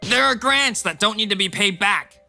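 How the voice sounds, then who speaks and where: Arrogant banker husband for manga dub, male actor: high, very forceful tone, condescending, music underneath. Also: expressive, moderate pace, creaky, exaggerated voice. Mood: defensive. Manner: condescending, irritable. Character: arrogant, cold-hearted banker husband, sneering and selfish. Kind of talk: dubbed manga drama acting.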